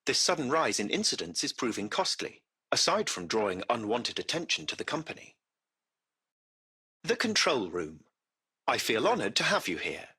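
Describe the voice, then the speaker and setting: A man's voice: medium pitch, big pitch swings, moderate pace, pushed and bright, breathy edge, exaggerated voice. A man voicing a courteous, composed artificial intelligence that runs a taxi fleet, speaking in formal, precise, butler-like phrases for a video game. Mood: polite, concerned. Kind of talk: scripted video game voice acting.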